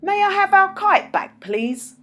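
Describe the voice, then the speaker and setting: A woman reading a children's story, voicing an excitable, friendly young girl in an expressive, exclaiming way. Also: very high-pitched, measured pace, pitched above the performer's own voice, exaggerated voice. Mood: bright, friendly. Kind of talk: children's storybook read-aloud.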